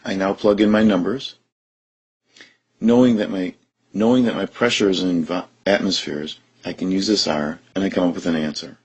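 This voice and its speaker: A man with a very deep, husky voice teaching chemistry. He talks through each step of a problem in a steady, methodical way. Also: normal speed. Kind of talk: recorded lesson explanation.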